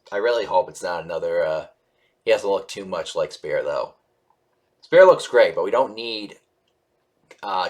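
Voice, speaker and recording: A man with a somewhat low voice presents casual online news commentary. He moves between reading aloud and offering his own take. His delivery is halting and rambling, and he often corrects himself and sounds unsure. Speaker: YouTube host reviewing animation news.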